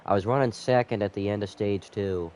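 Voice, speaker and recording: Nasal, low-voiced male game streamer. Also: brisk, darkish tone, slight background noise. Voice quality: slightly nasal. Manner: rambling, chatting with viewers. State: sick with a cold. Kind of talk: casual live gaming stream commentary.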